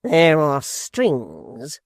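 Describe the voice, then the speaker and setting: Narrator voicing a haughty young apprentice: pompous, sulky, slow and theatrical, dry close sound. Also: male voice, fairly high pitch, wildly swooping pitch, gravelly, no echo, heavily acted. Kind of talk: audiobook character voice.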